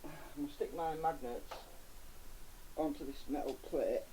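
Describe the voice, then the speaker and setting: A man with a slightly high, mellow voice talks casually as he works on a repair. He mutters and thinks aloud in an unscripted way. The amateur camera recording carries some faint background noise. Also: steady tone, moderate pace, poor audio. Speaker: hobbyist electronics repairer presenting his own video. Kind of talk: casual unscripted commentary while working.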